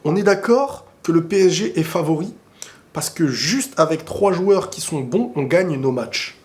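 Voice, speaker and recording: Guy with a cold and sore throat ranting about football, kinda high voice, rambles and gets carried away. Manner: rambling and excitable, bursts into quick asides. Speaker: football video creator answering viewer questions. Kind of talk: casual opinionated football talk to camera.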